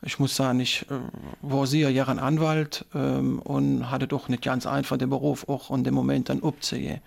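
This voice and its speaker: A man's voice: medium pitch, little pitch movement, moderate pace, gravelly, a little mellow. A man in his thirties from German-speaking Belgium, a politician guest on a radio show, speaking an East Belgian German dialect and explaining step by step with many hesitation sounds.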